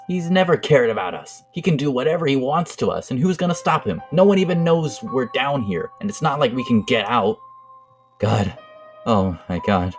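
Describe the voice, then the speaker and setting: A man's voice: medium pitch, natural voice. Male actor as young skeleton, bitter protective brother, agitated emphatic delivery, comic-dub drama, background music. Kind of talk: emotional comic dub acting.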